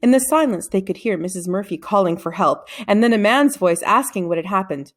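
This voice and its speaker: A woman reading a children's story aloud as an expressive narrator. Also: mid-range voice, brisk.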